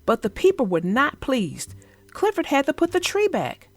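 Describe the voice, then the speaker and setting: Storybook young girl played by a woman, earnest and expressive, high voice, dry sound, telling a children's tale. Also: moderate pace, dry recording, faint music behind. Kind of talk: children's storybook read-aloud.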